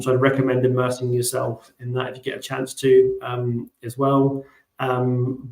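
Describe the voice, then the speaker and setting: Male panelist, very monotone delivery, friendly but halting, roomy sound. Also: medium pitch. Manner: friendly, lots of fillers. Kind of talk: live Q&A panel chat.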